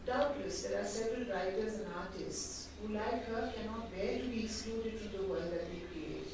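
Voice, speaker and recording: Older woman, measured, reflective, distant echoey hall, noisy. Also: mid-range voice. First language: Hindi. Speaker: author in conversation. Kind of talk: reading aloud then conversational talk. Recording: echoey hall picked up from far off with background noise.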